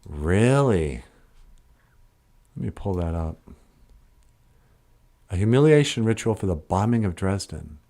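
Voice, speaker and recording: A man's voice: sits low, big pitch swings, unhurried, pauses often, dark tone. A man chatting on a livestream and answering his chat in a rambling way, often trailing off and correcting himself mid-sentence. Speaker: live streamer answering chat.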